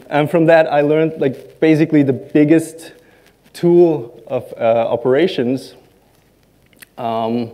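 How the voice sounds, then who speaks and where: A man in his thirties whose first language is Serbo-Croatian, giving a conference talk from a large stage in a halting way, full of ums and self-deprecating asides. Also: medium pitch, dark tone. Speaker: conference speaker.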